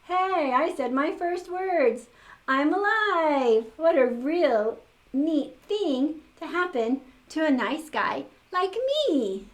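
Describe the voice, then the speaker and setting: A woman voicing a jolly, innocent storybook snowman who speaks in drawn-out, wondering exclamations. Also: sits high, expressive, voice pushed up. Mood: delighted. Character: jolly, innocent snowman newly come to life. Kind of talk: children's storybook character voice.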